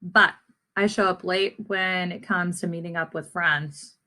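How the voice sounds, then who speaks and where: A woman's voice: on the low side, fairly even intonation, measured pace, pushed and bright. A woman speaking instructively to children as a teacher, addressing them as friends while reading aloud and posing lesson questions.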